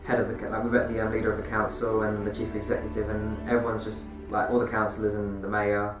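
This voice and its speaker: A nineteen-year-old man, a youth work apprentice, answering interview questions hesitantly with many pauses. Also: lowish voice, completely flat delivery. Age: young adult. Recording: roomy, mic far off, music behind.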